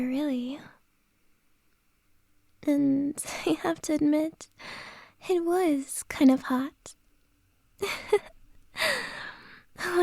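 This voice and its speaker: Woman playing a flirty teasing boss lady, high soft whisper, slow and unhurried, close mic. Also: vocal fry, no echo, heavily acted. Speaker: ASMR roleplay performer. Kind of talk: whispered ASMR roleplay.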